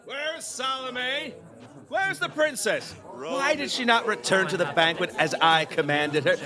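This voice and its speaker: A man's voice: extremely high pitch, animated, unhurried, crowd noise. An actor playing a decadent, superstitious, capricious king in a theatrical audio drama, volatile in manner. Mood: wistful musing. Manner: volatile, swooping between musing and alarmed exclamation.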